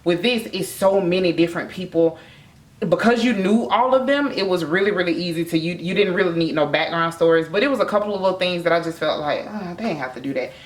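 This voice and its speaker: A woman's voice: fairly low pitch, fast talker. A woman in her thirties with an American accent, chatting casually as a vlog co-host reviewing in a room. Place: indoor room against a plain wall.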